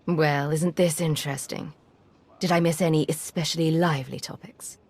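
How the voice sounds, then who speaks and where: A woman playing a cool, composed secret agent in a video game, measured, matter-of-fact and self-assured. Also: low-pitched, steady tone, a little mellow, husky. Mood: composed, wry. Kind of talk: dramatic video game acting.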